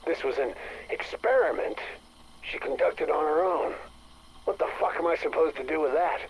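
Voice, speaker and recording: An actor playing a distressed maintenance director confessing into a game audio log, halting, stammering and bitter. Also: male voice, on the high side, breathy edge. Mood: bitter, alarmed. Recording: faintly noisy recorded audio log.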